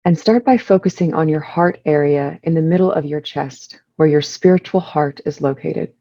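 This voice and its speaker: A woman leading a guided meditation, giving soothing instructions in measured short phrases with pauses between them. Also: low voice, dark tone, highs cut off.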